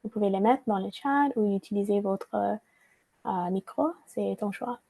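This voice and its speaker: A woman leads a virtual workshop through her computer mic. Her tone is mellow and warm. She reads from notes, often hesitating and starting phrases over. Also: medium pitch, a little slow, creaky. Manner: frequent hesitations and restarts, reading from notes. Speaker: workshop facilitator. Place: online video call.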